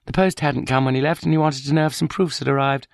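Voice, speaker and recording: A resentful, sharp-tongued wife in a wheelchair, as a male narrator puts her on, pitched well above his own deep voice. She speaks in a raised, accusing tone in a dry, close audiobook recording. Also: steady tone, fast, vocal fry, no echo, natural voice. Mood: bitter. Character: bitter, vindictive middle-aged wife in a wheelchair. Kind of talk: audiobook character voice.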